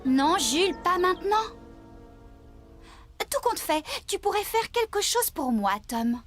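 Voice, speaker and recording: A friendly young woman farmer in a children's cartoon, with a very high voice. She speaks clearly, motherly and a little bossy, over clearly audible music. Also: sing-song, measured pace, exaggerated voice. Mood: firm.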